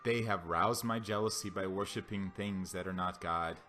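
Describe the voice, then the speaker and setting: A man with a lowish voice reads scripture aloud in a solemn style. His phrasing is deliberate and declamatory. Soft music plays behind him. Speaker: Bible reader. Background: background music.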